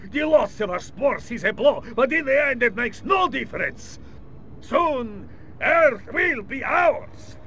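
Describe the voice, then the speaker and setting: An actor playing a scheming alien villain posing as a general, speaking with a gloating, theatrical manner in a video game cutscene. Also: male voice, very high-pitched, bright, forceful tone, a little hiss or hum, exaggerated voice.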